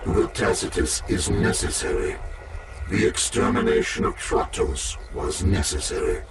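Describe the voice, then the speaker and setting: Cold calculating game AI, swooping intonation, very slow, measured menace, distant noisy recording. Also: male voice, low voice, huge pitch swings, creaky, heavily acted. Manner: formal, measured, menacing declarations. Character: cold, calculating, imperious military artificial intelligence. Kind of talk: video game cutscene acting.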